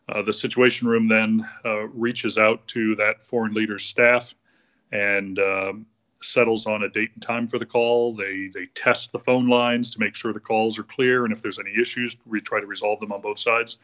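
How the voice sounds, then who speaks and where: A man's voice: lowish voice, a bit bright, lo-fi sound. An American man, a former official, explaining a procedure step by step in an interview, with frequent hesitations.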